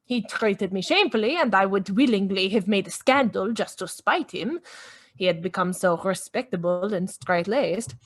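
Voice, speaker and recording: A woman's voice: medium pitch, normal speed, close to an everyday voice. An actress playing a proud, defiant Viennese lady in a radio play, speaking formally with poised command. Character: elegant, imperious Viennese woman, proud and defiant. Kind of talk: dramatic radio-play acting.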